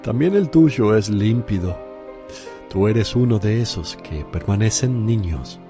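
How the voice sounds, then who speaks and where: A man playing Jesus in a radio drama, speaking gently and solemnly as a teacher and preacher. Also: on the low side, expressive, unhurried, soft background music. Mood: tender.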